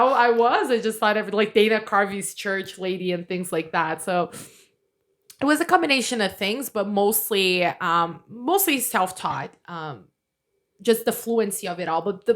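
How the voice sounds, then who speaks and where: A woman's voice: mid-range voice, lively intonation, measured pace, a bit bright. A woman from Brazil with a light Brazilian accent, a native Portuguese speaker, chatting casually as an interview guest in a self-deprecating way, often laughing. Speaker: guest journalist.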